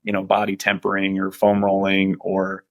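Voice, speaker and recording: A man's voice: sits low, monotone, moderate pace, dry recording. A man, a strength and conditioning coach, speaking casually as a podcast guest, laughing often and talking loosely. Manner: laid-back, laughs often, loose filler-heavy talk.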